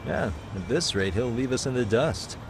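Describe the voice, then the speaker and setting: An actor playing a calm, serious private eye in a video game. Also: male voice, medium pitch, normal speed, noisy background, close to an everyday voice. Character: level-headed male private eye, calm. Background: game music.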